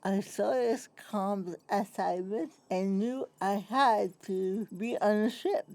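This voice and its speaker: A woman's voice: mid-range voice, darkish tone. A woman playing a proud, smart starship communications officer who speaks with some effort in a sci-fi comedy. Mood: proud, assured. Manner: slow, effortful speech.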